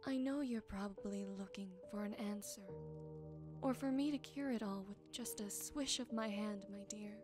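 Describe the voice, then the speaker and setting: A woman playing a gentle, wise forest witch in an ASMR roleplay, soothing, unhurried and tender, softly teasing. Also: medium pitch, music in the background, close to an everyday voice. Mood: tender, reassuring.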